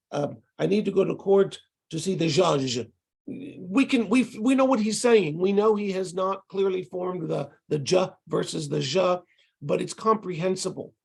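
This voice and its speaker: A middle-aged man with a Texas accent, giving a relaxed, conversational lecture over a video call with frequent ums and restarts. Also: high-pitched, gravelly, husky. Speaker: ESL instructor presenting to tutors.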